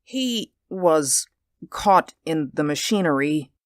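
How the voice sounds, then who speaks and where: Man acting a slow, halting, solemn messenger. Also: on the high side, expressive, dry recording, studio quality, voice pushed up. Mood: grave. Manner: halting, quiet, formal. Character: ill-at-ease, solemn male company messenger bearing bad news.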